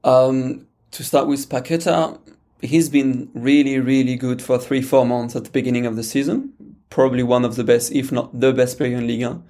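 A man's voice: mid-range voice, flat delivery, moderate pace, dark tone. A man with a French accent, a guest pundit talking football on a podcast, hesitant with many fillers and restarts. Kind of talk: casual podcast chat.

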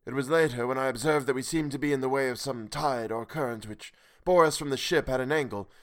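A man putting on a halting, weary castaway. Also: mid-range voice, fairly bright tone, voice pushed up, close to an everyday voice. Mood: solemn. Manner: halting, with broken pauses. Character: weary old male castaway calling from a boat in the dark.

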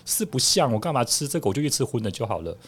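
A man's voice: mid-range voice, sing-song, quick pace, husky. A man with a Taiwanese accent talking conversationally as an interview guest. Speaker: podcast guest, food reviewer and book author.

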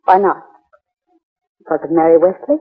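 A thin, phone-like vintage radio recording carries a hard-edged woman. She is secretive and commanding, with a husky voice in terse 1940s drama delivery. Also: deep voice, steady tone, normal speed. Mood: cold, threatening. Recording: tinny, band-limited 1940s radio recording.

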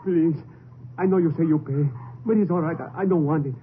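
A man's voice: on the high side, fairly quick, close to an everyday voice. An actor playing a frightened, deferential Mexican man in a radio western, stammering nervously with a Mexican accent. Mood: scared, pleading. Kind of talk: 1950s radio western acting. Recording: old radio broadcast, muffled, loud background noise.

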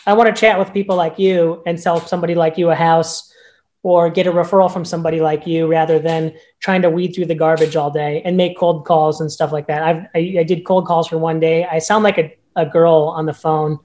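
A man with a notably high-pitched voice, a real estate agent speaking as a podcast guest in an upbeat, emphatic way. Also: little pitch movement, brisk, darkish tone. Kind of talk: casual podcast interview.

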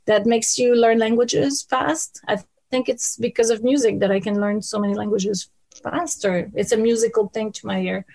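A woman with a French Canadian accent, a former TV host, telling stories in an interview, lively and laughing often. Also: a little high, vocal fry. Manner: lively, laughing, quick bursts of speech. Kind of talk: storytelling interview.

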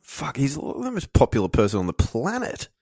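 A man's voice: medium pitch, huge pitch swings, moderate pace, a little mellow, husky, no room sound, studio quality. A man co-hosting a podcast in casual chat, wry and swearing. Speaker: podcast co-host.

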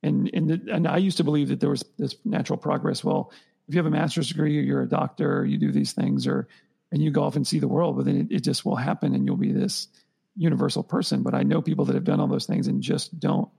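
A man talks quickly in long, rambling runs and keeps circling back to restart his sentences as he works through his thoughts on a podcast. His voice is heavily gravelly with a husky edge, and its tone is dark. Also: fairly high pitch, fairly even intonation. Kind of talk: conversational podcast discussion.

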